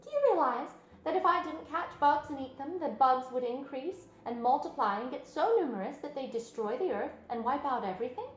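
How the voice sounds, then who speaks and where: Woman playing a clever female spider, high thin voice, pleasant, unapologetic, bloodthirsty, storybook read-aloud, roomy sound. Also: lively intonation, brisk, hardly any pauses, a little hiss or hum. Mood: self-assured, insistent. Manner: matter-of-fact, self-assured, precise. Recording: roomy sound with music underneath.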